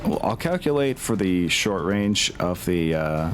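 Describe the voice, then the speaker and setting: A man's voice: sits low, very animated, measured pace. A man acting as game master of a tabletop wargame, talking casually and thinking aloud as he tallies rolls, with music behind him.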